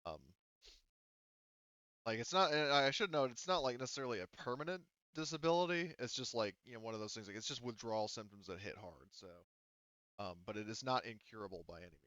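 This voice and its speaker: A man's voice: medium pitch, fast, a bit bright, dry recording, dull audio. A man running a tabletop game, talking casually as game master and explaining options in a rambling, hedging way.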